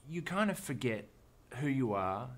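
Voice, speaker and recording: A man's voice: mid-range voice, very animated, moderate pace, a bit bright. An Australian man, an actor, talking casually as an interview guest, thoughtful and rambling, with frequent restarts.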